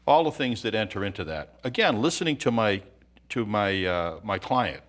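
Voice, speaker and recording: A middle-aged male accountant, a guest on an interview show, explaining things in a brisk, matter-of-fact way. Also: on the low side, lively intonation, a bit bright.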